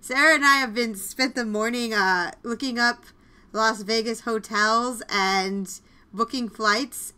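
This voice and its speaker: A woman hosting a podcast in casual, chatty style, with an excitable, effusive manner. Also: fairly high pitch. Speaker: podcast host. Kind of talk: casual podcast chat with announcements.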